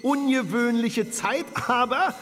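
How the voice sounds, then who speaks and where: An actor playing a snobbish, monocled frost giant in a children's radio play, speaking in a clipped, haughty military drawl. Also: male voice, very high voice, slow, fairly bright tone, long reverb tail, slight background noise, full character voice. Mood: pompous, self-satisfied.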